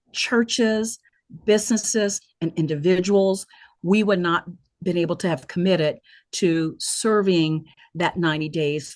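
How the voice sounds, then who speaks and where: A woman hosting an online town hall in a semi-prepared address, speaking earnestly and deliberately with some halting phrasing. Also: fairly low pitch. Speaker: nonprofit leader hosting a virtual town hall.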